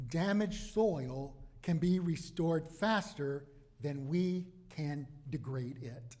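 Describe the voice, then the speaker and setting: A man preaching a sermon as a pastor, in deliberate, emphatic phrases broken by dramatic pauses. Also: on the high side.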